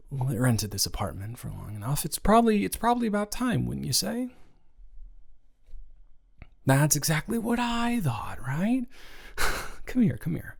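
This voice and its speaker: Man acting as a gentle, stammering young male roommate. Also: mid-range voice, gravelly, heavily acted. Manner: hesitant, stammering, reassuring. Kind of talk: improvised intimate boyfriend roleplay audio.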